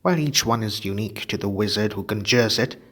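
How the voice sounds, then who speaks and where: A kindly, patient wizard teacher, as a man reading a storybook puts him on, lifting his voice above his own and weighing each word slowly. The tone keeps a gravelly, slightly husky texture while he explains. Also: lowish voice, a bit bright. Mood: instructive. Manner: slow, emphatic and instructive.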